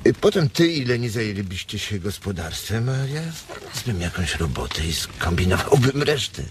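An actor in a radio drama playing a blunt, weary ranch hand who dreams of his own farm, speaking colloquially with hesitant pauses. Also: deep voice. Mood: cautiously hopeful. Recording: noisy ambience behind the voice.

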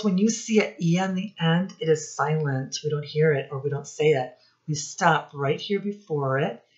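A middle-aged woman giving a read-aloud lesson to young children, speaking deliberately and instructively as their teacher. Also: sits low, highs cut off. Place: small indoor room with posters.

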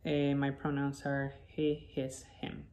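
A man's voice: medium pitch, completely flat delivery, takes it slowly, darkish tone, lo-fi sound. A young man from Ecuador with an Ecuadorian accent, a native Spanish speaker, telling his personal story as a presentation. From Quito, Ecuador. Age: young adult. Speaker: guest, wildlife biologist. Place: indoor living space at home.

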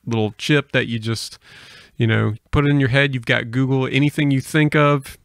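An American man hosts a podcast in a casual, conversational style. His voice sits at a middle pitch with plenty of fry, recorded close and dry in a studio. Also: steady tone, normal speed, fairly bright tone, no echo. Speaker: podcast host. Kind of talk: casual chat. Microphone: close studio microphone.

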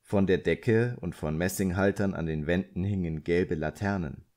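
A man's voice: low-pitched, moderate pace, darkish tone. A man reading scripted literary narration as an audiobook narrator.

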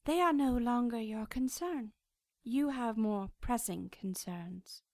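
A high, dry-sounding cherub from a radio drama. The angel is cold and imperious, choosing each phrase formally and looking down on mortals. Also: female voice, no echo. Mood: cold, detached. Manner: formal, stately, measured phrasing.

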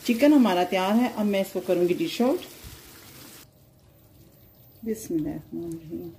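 A woman hosting a cooking channel, giving calm, plain step-by-step recipe instructions over her cooking. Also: fairly low pitch, moderate pace, gravelly, slight background noise.

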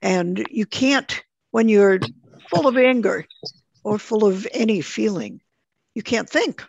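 A woman's voice: medium pitch, animated, slow, creaky, slightly rough, no echo. A woman teaching an online group lesson, explaining things simply, step by step, over dull call audio.

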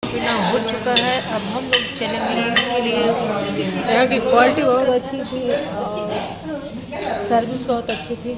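A woman's voice: a little high, little pitch movement, unhurried, pauses often, over a noisy crowd. Chatty woman vlogging on her phone. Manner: chatty, narrating what the family does. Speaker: family vlogger. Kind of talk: casual vlog narration. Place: home, then a large restaurant hall. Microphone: phone camera.

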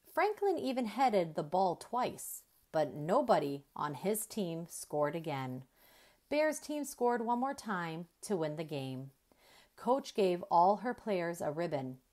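A woman reading a children's story aloud in an expressive, deliberate storyteller's manner. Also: lowish voice. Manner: deliberate, animated storytelling with emphasized dialogue.

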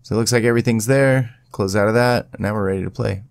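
A man presenting a casual step-by-step software tutorial in an informal, instructional way. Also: lowish voice, steady tone, fast, vocal fry, dry recording.

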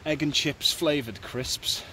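A man's voice: a little high, takes it slowly. A British man in his thirties chatting casually to camera as he records a hiking vlog outdoors on a phone. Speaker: hiking vlogger. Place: outdoors on woodland and open windy fells. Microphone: phone at arm's length. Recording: phone audio with loud wind noise. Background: wind buffeting the mic.

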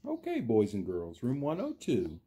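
A man voicing a friendly cartoon dinosaur who reads a children's storybook theatrically, husky, drawing out words and putting questions to young listeners. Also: mid-range voice, huge pitch swings, moderate pace, mellow. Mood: friendly, chatty. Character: friendly green dinosaur puppet, animated children's storytime reader. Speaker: storytime reader for a school class. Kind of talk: children's storybook read-aloud with asides.

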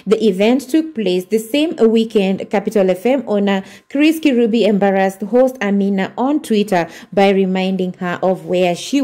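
A woman's voice: medium pitch, mellow. A woman narrating celebrity gossip as a channel host, reading posts aloud with frequent fillers and restarts. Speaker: entertainment news narrator.

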